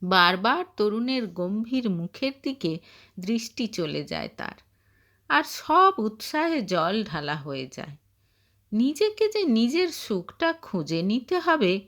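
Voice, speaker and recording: Slow, melodic female storyteller. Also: fairly high pitch, a bit bright. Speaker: audio story narrator. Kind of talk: scripted short story narration.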